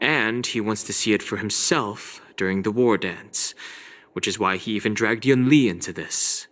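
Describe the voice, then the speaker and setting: Man as a composed, lowish-voiced young traveller. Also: fairly bright tone, natural voice. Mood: analytical. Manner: measured, explanatory. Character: composed, analytical young male traveller, loyal and serious. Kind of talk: anime game voice acting. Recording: music behind the voice.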